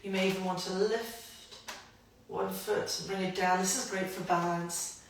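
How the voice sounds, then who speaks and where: A woman guiding a grounding movement practice, gentle and invitational, her voice a little croaky from hay fever. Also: medium pitch, steady tone, far from the mic, a little hiss or hum. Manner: gentle, invitational, unhurried guidance. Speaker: somatic practice guide. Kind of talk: guided movement instruction. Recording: roomy echo, voice from afar.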